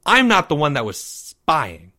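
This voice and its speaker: A man's voice: lowish voice, normal speed, lightly acted. A man voicing a sly, scornful schoolgirl in a storybook, cool and mocking. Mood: snappish.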